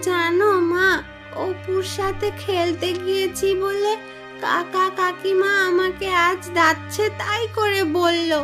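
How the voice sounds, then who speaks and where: A woman's voice: very high-pitched, level tone, slow pace, over music. A woman voicing a poor, innocent little village girl in a cartoon fairy tale, speaking in a childlike, plaintive way. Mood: innocent, wistful. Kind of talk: animated fairy tale dialogue.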